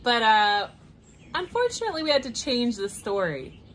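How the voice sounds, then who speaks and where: Woman teacher-presenter, casual, animated intonation, slightly raised pitch, light background noise. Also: moderate pace. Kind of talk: casual banter introducing read-aloud stories.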